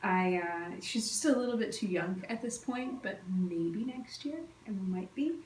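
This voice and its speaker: A woman's voice: medium pitch, expressive, normal speed, a little mellow. A woman with a slightly stuffy, cold-affected voice, chatting informally and enthusiastically as a craft podcast host. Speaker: podcast host, quilting teacher. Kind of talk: casual solo chat, craft update. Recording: roomy echo, distant mic, faint noise.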